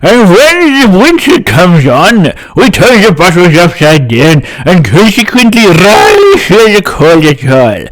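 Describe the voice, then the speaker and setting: A man's voice: high-pitched, huge pitch swings, voice pushed up, full character voice, rough recording. A man voicing a very aged, genteel male bluebottle fly, talking with a finger between his lips to make a buzzing lisp and drawing out his words. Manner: slow, drawn-out delivery.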